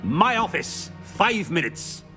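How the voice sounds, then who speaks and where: A man's voice: high voice, sing-song, slow, bright, forceful tone, faint music behind, heavily acted. A man playing a haughty, domineering, impatient older headmaster in a video game, curt and imperious. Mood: imperious, irritated. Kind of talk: video game character acting.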